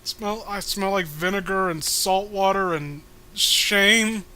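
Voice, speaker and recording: A man's voice: high voice, takes it slowly, sharp tone, clean tone, full character voice. A man voicing a timid, beleaguered male cartoon hero in a mock webcomic reading, hesitant and plaintive. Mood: wretched.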